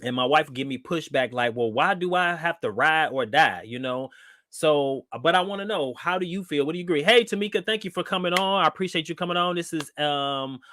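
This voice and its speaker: A man hosting a live online talk show, chatting loosely with plenty of ums and restarts. He sounds a little stuffed up from a cold, and his voice sits somewhat high. The sound is close and dry, with no room echo. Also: fairly quick. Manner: relaxed, with frequent fillers and restarts. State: nasal from a cold. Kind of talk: casual live-stream talk.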